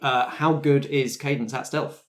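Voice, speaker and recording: A man's voice: mid-range voice, steady tone, unhurried. Man running a tabletop game. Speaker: game master. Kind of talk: casual explanation of game rules and rolls.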